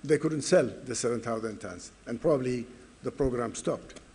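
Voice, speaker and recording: Soft-spoken man giving panel remarks. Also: medium pitch, normal speed. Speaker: foundation representative on a panel.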